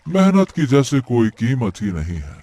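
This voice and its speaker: A man playing a struggling farmer in a cartoon moral story, a supportive friend with a measured, reassuring manner. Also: medium pitch, wildly swooping pitch, dark tone. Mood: discouraged, reflective. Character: struggling taro farmer Mohan, supportive, reflective childhood friend. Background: faint music.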